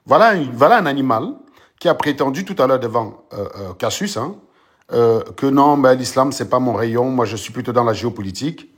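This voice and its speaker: A man's voice: mid-range voice, lively intonation, a little fast. A man giving sarcastic, mocking commentary on a live debate stream.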